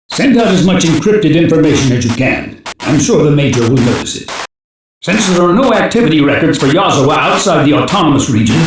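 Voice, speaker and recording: A man's voice: a little high, a little hiss or hum. An actor playing a stern, shrewd older male intelligence chief who gives authoritative, urgent orders in dubbed anime game dialogue. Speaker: chief of a special police unit. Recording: very echoey, distant from the mic.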